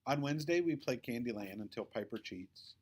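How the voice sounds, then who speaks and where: A man reading a children's novel aloud in an expressive storytelling style. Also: medium pitch, brisk. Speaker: audiobook-style reader.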